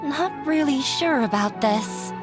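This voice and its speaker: A woman's voice: high-pitched, lively intonation, slow pace. A woman playing a shy, jumpy young prop maker in a video game, speaking in a hesitant, stammering way. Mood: anxious, unsure. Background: game music.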